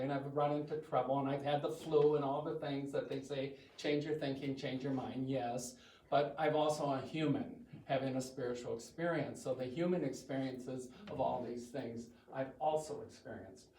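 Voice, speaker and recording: A man's voice: fairly high pitch, a little mellow. An older man from the US Midwest with a big voice, giving a chatty, self-joking talk to a church congregation. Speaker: guest speaker. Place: church hall. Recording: echoey hall from far off.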